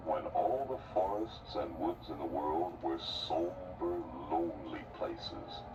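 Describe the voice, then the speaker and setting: A man's voice: a bass voice, animated, takes it slowly, music in the background. A man narrating a fairy tale for a stage play in a theatrical storybook style. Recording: very noisy, distant stage recording.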